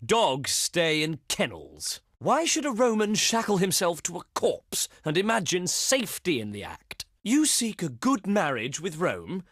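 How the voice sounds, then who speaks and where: An actor playing a haughty, contemptuous ancient Roman diplomat in a strategy game, pompous and sneering, with formal oratorical delivery. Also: male voice, high-pitched, a bit bright, dry recording, clean studio sound, heavily acted. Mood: mocking. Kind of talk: theatrical scripted game voice acting.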